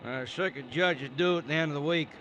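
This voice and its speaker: An actor playing a laconic, folksy small-town sheriff with a drawling, grudging manner in an old-time radio western. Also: male voice, a little high, fast, sharp tone. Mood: wary, gruff. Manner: drawling, plain-spoken, grudging. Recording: noisy vintage radio recording.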